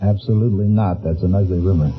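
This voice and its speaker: A man's voice: low voice, fairly even intonation, quick pace. A man answering briefly and earnestly as a contestant on a live 1940s radio quiz. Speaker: quiz contestant, professional wrestler. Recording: muffled vintage radio, loud music behind.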